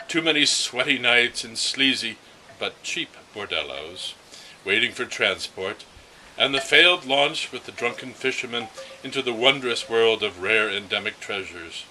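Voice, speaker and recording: A man's voice: on the low side, pushed and bright, a little hiss or hum, low-quality recording. A man reading a first-person literary story aloud, expressively and with the occasional stumble. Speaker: reader of a story.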